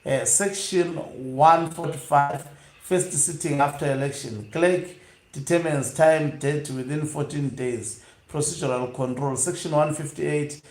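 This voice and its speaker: A man hosting an online news broadcast, reading aloud and commenting live in a halting, hesitant way with drawn-out pauses. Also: fairly high pitch. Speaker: online news channel host. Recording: echoey room.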